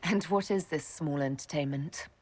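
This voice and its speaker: An actress playing a wry, warm-hearted woman hero in a video game, with a husky voice and teasing manner. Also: low voice, normal speed. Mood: friendly.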